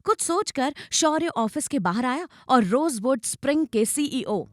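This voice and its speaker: High-pitched, animated woman narrator. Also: unhurried. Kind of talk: dramatic scripted story narration.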